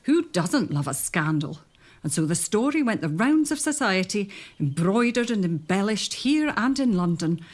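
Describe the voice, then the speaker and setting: A genteel sister-in-law, husky, lively tone, coaxing. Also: female voice, medium pitch, moderate pace. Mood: gossipy. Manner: genteel, coaxing, talks down gently. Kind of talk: period audio drama acting.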